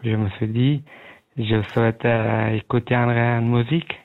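Slow, creaky Afghan-accented man on the phone. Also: medium pitch, little pitch movement. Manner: polite, halting, searching for words. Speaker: radio phone-in caller. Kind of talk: hesitant conversation in a second language. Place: at home, on the phone. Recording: tinny narrowband phone line.

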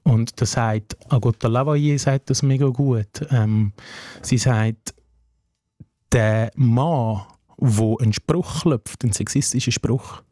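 A man's voice: medium pitch, takes it slowly, dark tone, no room sound, clean studio sound. A young man from Afghanistan with a Swiss German accent, answering interview questions in an earnest, self-critical way. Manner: earnest, self-critical, quotes his own inner thoughts. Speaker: guest.

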